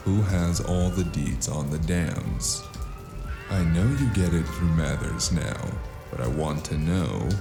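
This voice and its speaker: A man's voice: extremely low pitch, animated, slow pace, music in the background, heavily acted. A man playing a weary, sardonic tough fixer for hire in a cyberpunk audio drama, with a dry, laconic delivery. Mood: menacing. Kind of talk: scripted audio drama with hardboiled narration.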